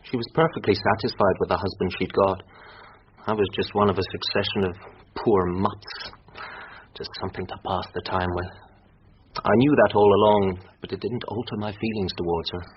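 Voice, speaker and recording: An actor with a British accent playing an earnest, defensive, well-spoken husband under questioning in a radio drama. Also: male voice, deep voice, steady tone, moderate pace, natural voice. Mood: defensive, candid. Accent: British English. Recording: muffled radio broadcast, hissy with clicks.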